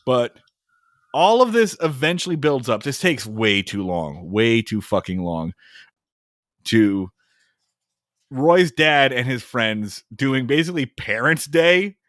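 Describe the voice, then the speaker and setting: A man's voice: mid-range voice, slow, dry recording, clean studio sound. A man co-hosting a podcast, casually recapping an old comedy film and acting out the scenes in silly voices. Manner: animated, acts out scenes in funny voices, swears. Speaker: podcast co-host.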